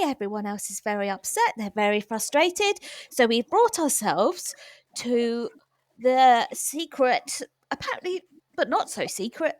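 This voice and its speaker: Woman as a chatty, lively, incredulous hospital temp. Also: fairly high pitch, dry recording, studio quality. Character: exasperated, chatty, plain-spoken new female hospital temp.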